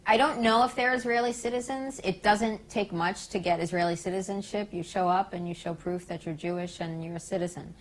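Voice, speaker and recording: A woman's voice: on the low side, a little fast, hardly any pauses, rough recording. A woman with an American accent giving emphatic political commentary in an interview, often posing rhetorical questions. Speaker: interviewee, peace activist speaker.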